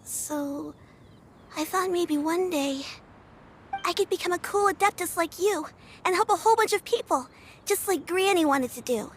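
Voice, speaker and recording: A woman's voice: extremely high pitch, normal speed, a little hiss or hum. A woman playing an earnest, polite, shy young girl in a video game, speaking hesitantly. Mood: earnest, wistful.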